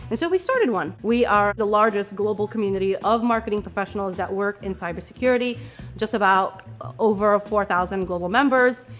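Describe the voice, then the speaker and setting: A woman's voice: medium pitch, a little fast, a bit bright, soft background music. A middle-aged woman from Morocco, chatting as a guest in a casual conversational interview with an enthusiastic manner.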